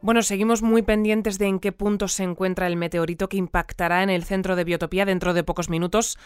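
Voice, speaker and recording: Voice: medium pitch, level tone, fast, clean tone, faint music behind, natural voice. An actor playing a composed, formal radio presenter hosting a scripted live bulletin in an audio drama. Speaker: radio host.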